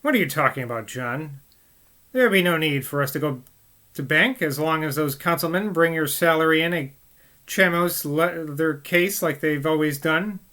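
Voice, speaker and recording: A man's voice: fairly high pitch, normal speed, bright, forceful tone. A man voicing an older wife, cross and scolding.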